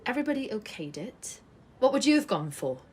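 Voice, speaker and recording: An actress in an audio drama playing a troubled, defensive woman who deflects and speaks haltingly. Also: on the high side, big pitch swings, a little mellow, slightly rough. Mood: evasive, brittle. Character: troubled woman resisting thoughts of her ex. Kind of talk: audio drama acting, poetic dialogue.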